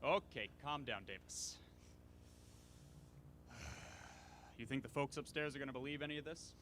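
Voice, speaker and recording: A man playing a sceptical, excitable investigator in an audio drama. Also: normal speed, close to an everyday voice. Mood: amazed, sceptical. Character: sceptical, excitable man reviewing recorded files. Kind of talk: naturalistic audio drama acting. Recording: faint rumble behind.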